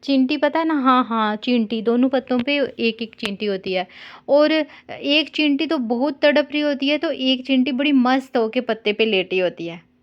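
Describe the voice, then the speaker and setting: A woman chatting casually on a call, consoling and gently scolding as she tells a simple story in a conversational way. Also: high voice, normal speed. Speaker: caller consoling an older sister figure. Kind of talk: casual phone chat with storytelling. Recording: dull lo-fi call recording.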